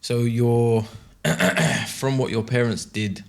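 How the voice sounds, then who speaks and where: A man hosting a podcast and interviewing a guest, soft-spoken, with frequent quiet acknowledgements. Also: mid-range voice, takes it slowly. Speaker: podcast host.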